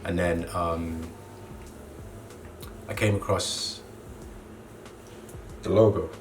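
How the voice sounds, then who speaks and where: A young man who grew up in Zambia, a clothing brand co-founder, talking casually and relaxed, with lots of "you know" fillers. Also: low-pitched, very slow. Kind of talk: casual interview monologue. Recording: distant mic with clear background noise.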